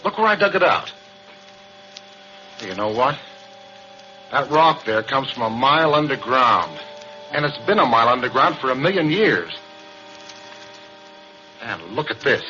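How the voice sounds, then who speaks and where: An actor playing a young, jittery oil driller who talks in a nervous, halting way, in a 1940s radio drama. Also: male voice, medium pitch, sing-song, sharp tone. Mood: urgent. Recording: dull old radio broadcast, faint hiss.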